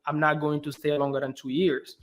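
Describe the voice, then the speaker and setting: A young man from Belgium, a guest in a casual interview, telling his story in an animated way with lots of "you know" and "right". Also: fairly high pitch, fairly quick.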